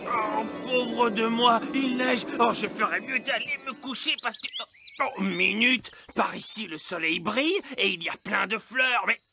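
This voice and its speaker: A man's voice: very high voice, a little slow, vocal fry, music in the background, exaggerated voice. A man voicing a big, cheerful, gluttonous chatterbox garden gnome in a children's cartoon, jovial, rambling and oblivious. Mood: jolly, chatty. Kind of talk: animated children's cartoon acting.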